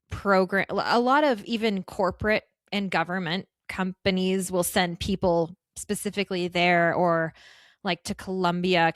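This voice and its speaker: A woman in her thirties from the United States, a guest chatting upbeat and quickly on a travel podcast. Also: lowish voice, dry recording, clean studio sound. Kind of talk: casual interview conversation.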